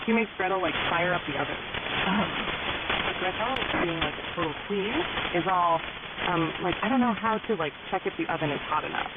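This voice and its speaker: A woman's voice: on the low side, fairly quick. Gossipy young Valley Girl storyteller, played by a woman, California slang accent, comic retelling, heard through very noisy, narrow shortwave radio. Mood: gossipy, dramatic. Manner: exaggerated slang-heavy delivery packed with "like" and "totally". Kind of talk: scripted comic fairy-tale retelling, radio broadcast.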